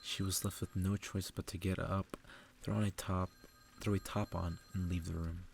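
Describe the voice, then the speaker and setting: A man reads a story in a very low, even voice with heavy fry. His delivery is soft and unhurried ASMR narration, with faint noise behind it. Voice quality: low, gravelly, soft-spoken. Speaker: ASMR reader.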